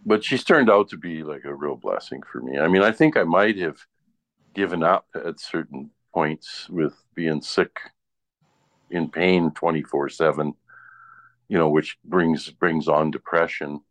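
Casual male talker for a chat show: low voice, dry sound, rambling anecdotal delivery. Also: unhurried, fairly bright tone, dry recording. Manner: rambling, often tags sentences with "right?".

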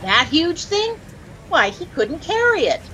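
An actress with an American accent playing a chirpy, easily dazzled young housewife who gushes and lightly scolds in a 1940s radio comedy. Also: female voice, very high-pitched, big pitch swings, unhurried, sharp tone, exaggerated voice. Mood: curious, teasing. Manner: gushing, breathlessly admiring, lightly scolding. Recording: noisy old-time radio recording.

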